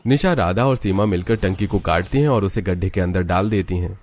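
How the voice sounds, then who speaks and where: A man with a low voice narrates a cartoon tale. The delivery is scripted storytelling, and there is noticeable noise in the recording. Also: brisk, a little mellow.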